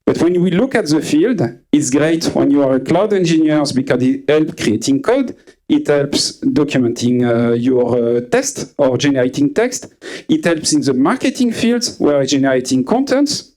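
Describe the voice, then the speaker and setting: A man in his forties from France with a French accent, giving a conference talk to a live audience, pausing often with fillers and restarts. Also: fairly high pitch, very animated, mellow, low-quality recording. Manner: hesitant, many uh fillers and restarts. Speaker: conference speaker.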